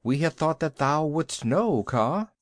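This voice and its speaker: A fond, anxious old bear from a storybook, voiced by a male reader. He sounds earnest, with old-fashioned phrasing and an expressive, moving pitch. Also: takes it slowly, no echo, studio quality. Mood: deferential.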